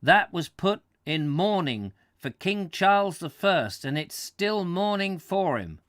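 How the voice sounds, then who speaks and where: A man's voice: high-pitched, big pitch swings, slow pace, a bit bright, no echo, studio quality, voice pushed up, full character voice. A man voicing a proud, formal English town official who speaks stiffly and solemnly.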